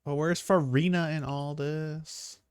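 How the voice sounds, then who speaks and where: A man's voice: on the high side, fairly even intonation, slow, slight background noise. A man giving casual livestream commentary as he plays a game. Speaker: game streamer. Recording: game audio music behind.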